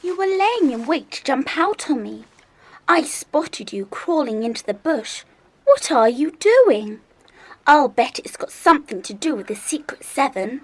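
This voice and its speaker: A sly, nosy schoolgirl pest in a children's audio story. Her voice is high and animated, pushy and taunting in manner. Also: measured pace, full character voice, rough recording. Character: pesky, nosy, sly little schoolgirl sister.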